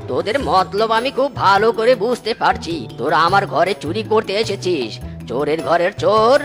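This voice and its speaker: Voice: mid-range voice, expressive, moderate pace, over music, natural voice. A performer voicing a stingy, blustering, gullible animal husband in a Bangla comedy cartoon, scolding and exclaiming. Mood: outraged.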